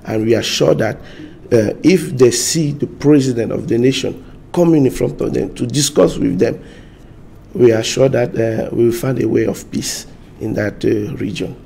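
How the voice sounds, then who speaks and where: Halting gravelly man. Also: medium pitch, dark tone, a little hiss or hum. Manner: halting, repeats words, searches for English. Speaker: party spokesman. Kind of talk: studio interview answers.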